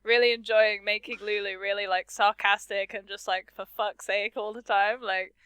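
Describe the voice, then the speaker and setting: Giggly woman with a smooth, dry voice. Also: medium pitch, normal speed, no room sound. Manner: giggly, animated. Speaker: voice actress of a fan abridged series. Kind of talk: casual podcast chat.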